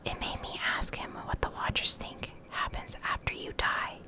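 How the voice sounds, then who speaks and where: A woman doing an ASMR reading in a soft, breathy whisper, deliberate and pausing between short phrases. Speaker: ASMR creator reading game lore.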